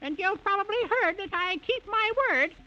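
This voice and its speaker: A woman's voice: very high-pitched, moderate pace, bright, forceful tone. A woman acting a wicked, vengeful old witch in a 1930s children's radio play, speaking theatrically in rhyme. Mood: menacing. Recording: muffled lo-fi 1930s radio recording.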